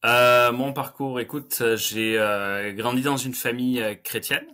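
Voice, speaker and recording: A man's voice: medium pitch, fairly even intonation, a little slow, sharp tone. A man from France, the guest on a podcast over a video call, talking with many hesitations and some self-mocking humor. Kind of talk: conversational interview.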